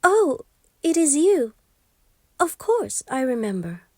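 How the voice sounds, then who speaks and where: A woman's voice: high voice, very animated, slow, dark tone, dry recording, clean studio sound, voice pushed up, full character voice. A woman voicing an anxious young fairy-tale queen, earnest and hesitant as she pleads and bargains. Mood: nervous, pleading.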